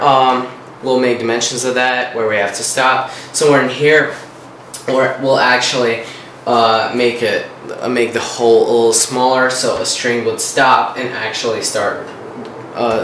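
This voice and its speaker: A man from Russia with a Russian accent, his first language being Russian, casually explaining his guitar design in halting, filler-heavy speech. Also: mid-range voice, roomy sound, noisy background. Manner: hesitant, many fillers and restarts. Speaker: guitar design contest entrant.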